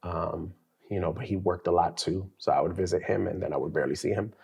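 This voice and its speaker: A man co-hosting a faith podcast, sharing his childhood story in a casual, animated way with some slang. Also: low voice, fast, vocal fry, dull audio. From New York. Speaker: podcast co-host. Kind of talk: casual testimony chat.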